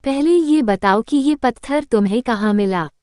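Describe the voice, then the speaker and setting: Clear-voiced shrewd village wife played by a woman. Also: a little high, expressive, moderate pace, darkish tone, no echo, studio quality, natural voice. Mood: questioning, scolding. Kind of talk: Hindi cartoon story dialogue.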